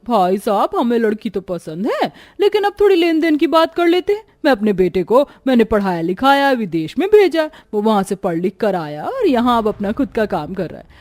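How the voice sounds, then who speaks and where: Lady doing a snobby greedy groom's mom in a story cartoon, demanding, scornful, highish voice, dry sound. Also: very animated, moderate pace, few pauses, darkish tone, no room sound, soft background music. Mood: haughty, demanding. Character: greedy, haughty middle-aged mother of a prospective groom.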